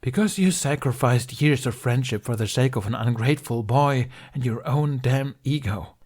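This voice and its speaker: A man's voice: medium pitch, normal speed, darkish tone, pitched above the performer's own voice, lightly acted. A man voicing a furious, grieving middle-aged knight who speaks in a heated, accusing, raised voice in an audiobook reading. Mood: bitter.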